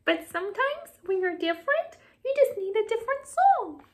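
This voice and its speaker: Woman voicing a gentle wise little cricket, very high, soft, coaxing, storybook. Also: sing-song, normal speed, slight background noise. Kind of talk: storybook read-aloud.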